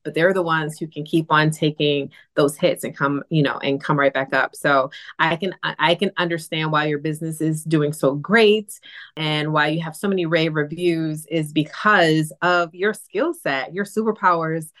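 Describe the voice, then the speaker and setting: A woman's voice: sits low, steady tone, moderate pace, a bit bright. A woman hosting a conversational podcast interview, speaking as a coach in an encouraging, affirming way. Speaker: podcast host, business coach.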